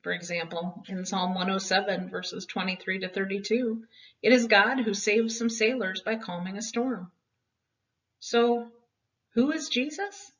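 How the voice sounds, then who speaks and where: A woman's voice: medium pitch, a little slow, fairly bright tone. A woman reading a children's Bible story expressively, with dramatic, emphatic phrasing meant for young listeners. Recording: dull, distant home-style recording.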